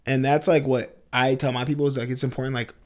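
A man's voice: medium pitch, flat delivery, fast. A man co-hosting a casual podcast, a fitness trainer who talks loosely with lots of fillers and swearing. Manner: casual, profane, filler-heavy. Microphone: studio mic at the table.

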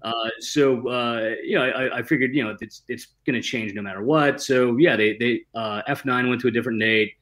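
A man's voice: medium pitch, moderate pace, hardly any pauses, a bit bright. A man talking casually as a guest screenwriter, slangy and given to self-deprecating humour. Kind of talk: casual chat.

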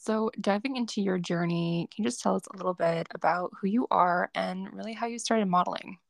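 Young woman, podcast host, enthusiastic and gushing, gravelly edge, dry close sound. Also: medium pitch, a little fast, dry recording. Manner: gushing, enthusiastic, filler-heavy. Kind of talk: casual interview chat.

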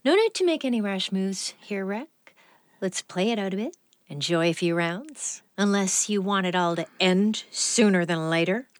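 An actress playing a menacing, mocking female crime boss in an audio drama, with a taunting, theatrical, drawn-out delivery. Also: medium pitch, expressive, dry recording, natural voice.

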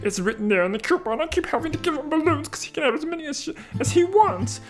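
Man putting on a high fretful balloon seller. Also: animated, fast talker, soft background music. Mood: nervous pleading. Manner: fretful, pleading, stammering. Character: nervous middle-aged balloon shop man.

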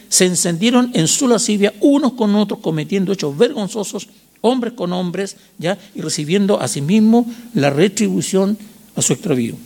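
A man in his sixties with a Chilean accent preaching a sermon, teaching didactically with emphatic repetition and short check questions, in a gravelly, husky voice. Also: sits high, a little mellow. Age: 60s. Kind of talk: sermon with Bible reading and commentary.

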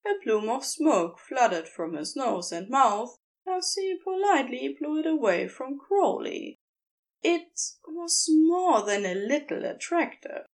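A woman reading scripted fan fiction as narrator, in an expressive, measured storytelling manner. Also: a little high. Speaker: narrator and reader.

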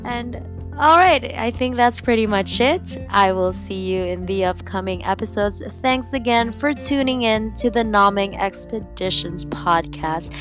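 A woman's voice: mid-range voice, a little slow, a bit bright, faint music behind. A young woman from the Philippines with a slight Filipino accent, hosting her own podcast in a chatty, upbeat way. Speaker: podcast host. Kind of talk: casual solo podcast chat.

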